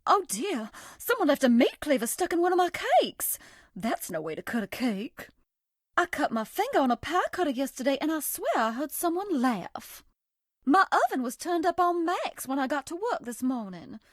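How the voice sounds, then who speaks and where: A sugary, high-voiced Southern belle. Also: female voice, sing-song, normal speed, no echo, studio quality. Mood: worried. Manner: coy, sugary, folksy. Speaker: voice actress. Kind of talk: video game character voice lines.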